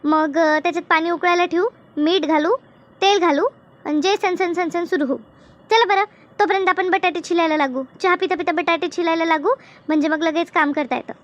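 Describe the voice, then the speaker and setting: A woman voicing a gossipy, teasing village woman in a comedy cartoon, with an expressive, complaining way of talking. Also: very high voice, fairly quick, muffled recording. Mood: bustling. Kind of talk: colloquial comedy cartoon dialogue.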